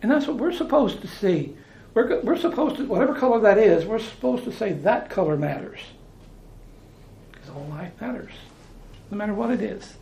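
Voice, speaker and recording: A man's voice: high voice, lively intonation, normal speed, gravelly, darkish tone, echoey room, slight background noise, rough recording. An American man preaching informally, talking in a conversational way, repeating phrases and restarting his sentences. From United States.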